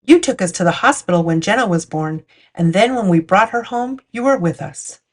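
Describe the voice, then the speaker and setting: A woman's voice: mid-range voice, lively intonation, moderate pace. A woman narrating a picture book for children as a storytime host. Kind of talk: storytime read-aloud narration.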